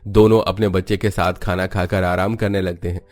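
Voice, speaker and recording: A man's voice: sits low, flat delivery, brisk, darkish tone. A man narrating a scripted cartoon family story as a storyteller.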